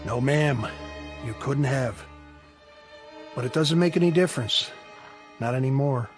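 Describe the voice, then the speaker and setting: An actor playing a courteous, resigned Union lieutenant in a radio drama, with a polite, unhurried, stoic delivery. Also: male voice, medium pitch, lightly acted. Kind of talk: dramatic radio play acting. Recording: studio radio drama with music underneath.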